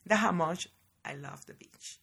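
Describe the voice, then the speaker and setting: A woman hosts her own podcast, telling stories from her childhood. Her voice sits low and comes out husky and a little breathy. She chats in a lively, casual way and keeps calling her listeners guys. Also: measured pace. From Cuba. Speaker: podcast host. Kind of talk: casual personal storytelling.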